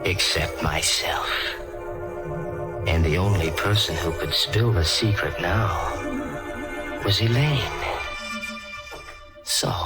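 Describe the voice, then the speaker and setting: An actor playing an obsessive, scheming murderer who narrates his own crime in a brooding, deliberate 1940s radio thriller style. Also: mid-range voice, lightly acted. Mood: paranoid, scheming. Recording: old radio recording with loud music.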